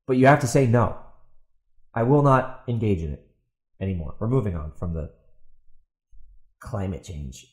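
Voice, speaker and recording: A man's voice: lowish voice, normal speed, long pauses, darkish tone. Exasperated man ranting, echoey room. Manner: combative, exasperated, repeats himself, blunt with swearing. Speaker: podcast host. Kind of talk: ranting solo commentary, partly reading out a post. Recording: roomy, echoey sound.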